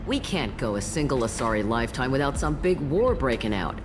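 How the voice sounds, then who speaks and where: An actress voicing a blunt, world-weary old alien matriarch who tends bar in a sci-fi video game, sardonic and no-nonsense. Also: female voice, rumbling low, big pitch swings, fairly quick. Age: older. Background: music.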